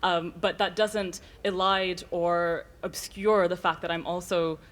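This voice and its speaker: A woman's voice: on the low side, steady tone, measured pace. A woman arguing a point articulately, with hesitant phrasing. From Canada. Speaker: audience member.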